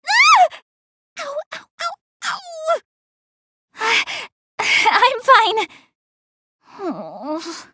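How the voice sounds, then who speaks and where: A woman's voice: extremely high pitch, bright, forceful tone, no echo, studio quality, full character voice. A woman voicing an earnest young goddess girl in an anime game, proud but shy, speaking to the player in a self-conscious, slightly defensive way. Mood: flustered, embarrassed. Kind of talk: English anime game voice acting, addressing the player.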